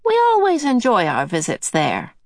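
A woman's voice: fairly low pitch, huge pitch swings, no echo, clean studio sound, exaggerated voice. A woman voicing a cheerful, motherly old otherworldly female being who soothes and fusses. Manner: bright and soothing, brisk.

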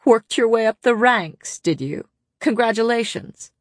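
A woman's voice: mid-range voice, very animated, measured pace, slightly rough, dry recording, studio quality, voice pushed up, exaggerated voice. A woman voicing a taunting, monstrous male warrior villain in an audiobook, crooning and sneering.

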